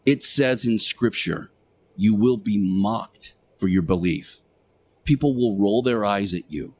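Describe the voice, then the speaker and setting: A man delivering a sermon-like radio monologue as a show host, speaking emphatically and preachily with deliberate rhetorical repetition. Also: fairly low pitch, a little hiss or hum.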